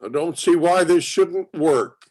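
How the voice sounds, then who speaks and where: A man playing a stubborn, musing inventor in his eighties, speaking haltingly in a play reading. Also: on the high side, a little mellow, lightly acted. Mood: eager, insistent. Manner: halting, repeating words, ruminative. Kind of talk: acted dialogue in a play reading.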